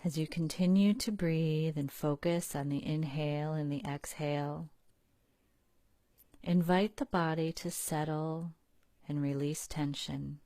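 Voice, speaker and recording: A woman leading a guided relaxation in a calm, soothing, unhurried manner with long pauses. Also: sits low, dry recording. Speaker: guided meditation leader.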